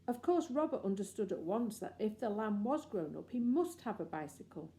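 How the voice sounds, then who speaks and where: A woman's voice: medium pitch, expressive, moderate pace, warm tone. A woman reading a children's storybook aloud as scripted narration. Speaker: audiobook reader.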